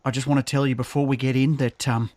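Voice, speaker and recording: A man playing a rambling, easily distracted food lover in an audio drama, halting and savouring his words. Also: mid-range voice, little pitch movement, a little mellow, no room sound, lightly acted. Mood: blissfully distracted.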